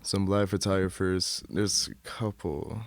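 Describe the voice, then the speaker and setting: American man, low smooth voice, laid-back rambling chat, dry close sound. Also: flat delivery, a little slow, dry recording. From Michigan, United States. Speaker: guest artist. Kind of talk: casual studio interview chat.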